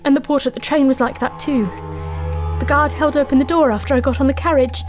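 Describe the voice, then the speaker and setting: Actress as high-voiced, haunted, desperate woman. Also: fairly even intonation, a little mellow, faint music behind, close to an everyday voice. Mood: haunted, uneasy. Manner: distraught, halting confession. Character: desperate, haunted woman confessing a supernatural dread. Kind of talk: audio drama monologue.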